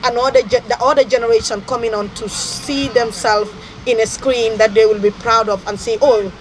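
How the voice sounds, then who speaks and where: A woman who teaches a language and runs a community group, answering questions in a casual interview outdoors, agreeable and quick to say yeah. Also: on the high side, steady tone, low-quality recording. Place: outdoors near a football pitch. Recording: outdoor crowd noise.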